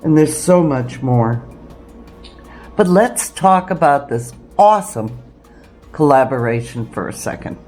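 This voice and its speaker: A woman's voice: low voice, animated, unhurried, pauses often, a little mellow, soft background music. A woman hosting a homesteading video, talking straight to viewers in an earnest, emphatic way with rhetorical questions. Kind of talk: direct-to-viewer preparedness talk.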